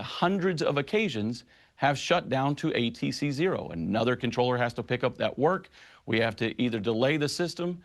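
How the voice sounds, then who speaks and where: Middle-aged male union head, firm and impassioned, mid-range voice. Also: mid-range voice. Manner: impassioned, emphatic advocacy. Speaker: union president. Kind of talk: news interview guest.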